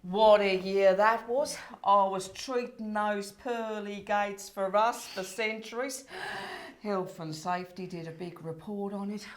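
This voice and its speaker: A woman's voice: mid-range voice, fairly even intonation, a little slow, close to an everyday voice. A woman playing an exasperated, flustered saint who runs heaven in a comedy play, speaking theatrically and repeating herself. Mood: reminiscing. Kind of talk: comedy stage play dialogue.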